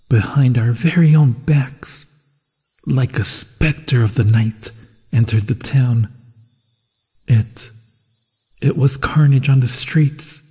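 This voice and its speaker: A man playing a grieving, vengeful monster hunter who protects a stranger, speaking solemnly and haltingly in an ASMR fantasy horror roleplay, with a husky, breathy voice. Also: mid-range voice, dark tone, exaggerated voice. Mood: grim, haunted. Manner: solemn, dramatic, halting delivery with long pauses. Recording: long cavernous reverb, insect sounds.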